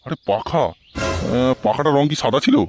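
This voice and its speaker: A man's voice: medium pitch, expressive, normal speed, faint music behind, natural voice. A man voicing a nosy, moralising older uncle in a comic cartoon. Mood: chatty, inquisitive.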